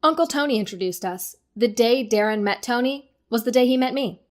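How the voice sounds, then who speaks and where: Woman playing a teasing young wife, bit high pitched, wry and kinda bossy, chatty radio play. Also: fairly quick, close to an everyday voice. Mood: amused storytelling. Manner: teasing, conversational. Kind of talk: audio drama acting.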